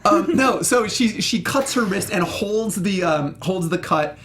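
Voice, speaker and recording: A man's voice: high-pitched, animated, unhurried, fairly bright tone, slight background noise. A man co-hosting a casual, comedic movie discussion, telling stories with self-mocking humor. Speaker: podcast co-host.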